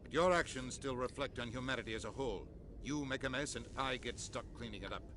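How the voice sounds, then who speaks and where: An actor playing a stern, blunt human political official in a sci-fi video game, speaking with authority. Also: male voice, mid-range voice, quick pace, background noise, close to an everyday voice. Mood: exasperated. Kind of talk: scripted sci-fi game dialogue.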